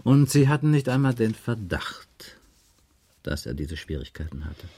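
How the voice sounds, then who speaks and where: A man's voice: fairly low pitch, very animated, unhurried, mellow, husky, exaggerated voice. An actor playing a persistent male police inspector, questioning in a formal, insistent radio drama manner. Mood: probing, insistent. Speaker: actor in a radio crime play. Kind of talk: 1980s radio drama dialogue.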